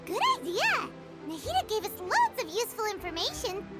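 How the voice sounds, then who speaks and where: A tiny, bubbly game fairy with an extremely high, squeaky child's voice. The delivery is chirpy and wide-eyed, swinging up and down with curiosity, over prominent music. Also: female voice, wildly swooping pitch, a little fast, exaggerated voice. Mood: curious, eager. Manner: chirpy, wide-eyed, refers to self in third person. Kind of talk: anime video game voice acting. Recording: music playing behind.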